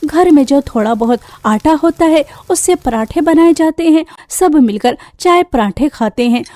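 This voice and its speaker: Mellow, high-pitched woman storyteller. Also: animated, a little slow, no room sound, studio quality. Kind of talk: scripted Hindi cartoon story narration.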